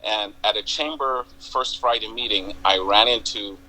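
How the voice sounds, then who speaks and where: An older man with a Jamaican accent, a community group president telling his story in a measured, halting way as a TV interview guest. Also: fairly low pitch, pushed and bright, low-quality recording. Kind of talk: interview on local TV.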